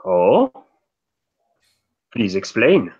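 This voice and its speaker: Man playing a slow, wary, formal gentleman. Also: on the low side, huge pitch swings, breathy edge, exaggerated voice. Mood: probing. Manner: halting, deliberate, stiffly polite. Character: wary, formal gentleman guest, suspicious and measured. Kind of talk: improvised roleplay dialogue. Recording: dull lo-fi microphone.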